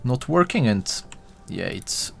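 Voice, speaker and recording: Slow, hesitant man from Bulgaria. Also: medium pitch, big pitch swings, gravelly, a bit bright, soft background music. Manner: hesitant, many fillers and restarts, talks to his viewers. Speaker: 3D modeling tutorial streamer. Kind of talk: casual live-stream chat while modeling.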